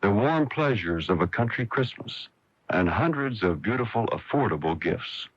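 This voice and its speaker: A man with a husky voice reading a scripted radio advertisement. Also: fairly low pitch, animated, normal speed. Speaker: magazine editor as advertiser. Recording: dull lo-fi vintage radio.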